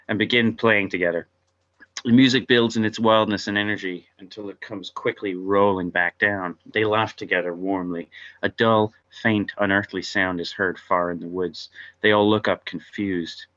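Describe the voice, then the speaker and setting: A man's voice: lowish voice, fairly even intonation, moderate pace, sharp tone. A man reading stage directions as narrator at a table read of a script, on a dull microphone.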